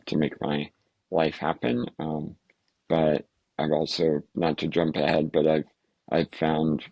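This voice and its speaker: A man, a podcast guest, speaking casually and haltingly with many fillers, restarts and soft laughs. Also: very deep voice, vocal fry, dry recording, low-quality recording. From United States. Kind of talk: casual interview talk.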